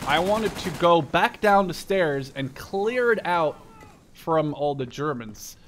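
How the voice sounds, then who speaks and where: A man in his twenties giving casual, sweary commentary over first-person shooter gameplay in an excitable manner. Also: a little high, slow pace, noisy background. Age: 20s. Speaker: gaming video creator. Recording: loud gunfire and explosions behind. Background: war game sound effects, gunfire, machine guns.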